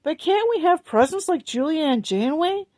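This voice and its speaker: A woman's voice: unhurried, darkish tone, no echo. A woman reading aloud, voicing a hopeful little girl who wails that she wants Christmas. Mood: wailing. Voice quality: high, clear little voice. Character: wishful, wailing little girl.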